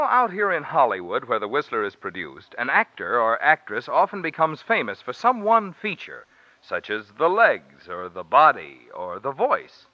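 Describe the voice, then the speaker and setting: A man's voice: fairly high pitch, dry recording. An American man announcing in a polished, persuasive 1940s radio commercial style. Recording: muffled old radio recording.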